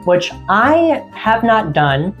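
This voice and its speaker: A woman casually vlogging about seasonal witchcraft in a friendly, rambling way, her voice getting a little raspy. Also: medium pitch, very animated, slow pace, muffled recording, soft background music. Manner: rambling, friendly, laughs often. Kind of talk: casual chatty vlog.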